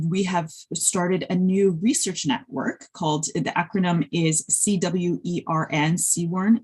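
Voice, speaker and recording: A middle-aged woman whose first language is Polish gives a conference talk over a video call. Her voice sits a little low and has a husky edge. She speaks in a friendly way, often pausing on ums and starting her sentences over. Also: a little mellow. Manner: friendly, filler-heavy, with frequent restarts. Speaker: conference speaker, industry researcher. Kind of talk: presenting slides at an online conference.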